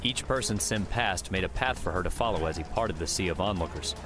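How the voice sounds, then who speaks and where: A man's voice: deep voice, brisk, music in the background. A man reading scripted audiobook narration as the storyteller.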